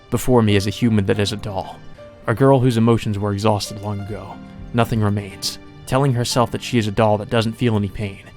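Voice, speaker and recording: A man's voice: fairly low pitch, a little mellow. A man reading a visual novel aloud as a let's player, with casual commentary. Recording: music under the voice.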